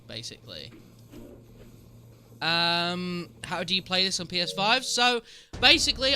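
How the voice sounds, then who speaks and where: Bright-voiced male streamer with a cold. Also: a little high. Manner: chatty, answers trivia aloud, jokes with chat. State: has a cold, sniffly. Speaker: game streamer. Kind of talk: casual live-stream commentary.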